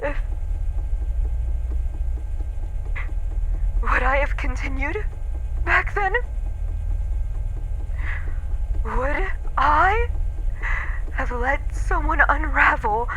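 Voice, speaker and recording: Horror roleplay casting: actress as broken, bitter female ex-researcher, very high voice, slow halting tearful delivery. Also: expressive, sharp tone, slight background noise, heavily acted, rough recording. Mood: anguished disbelief. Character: anguished, embittered former researcher, a woman stripped of her memories. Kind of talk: dramatic horror ASMR roleplay monologue.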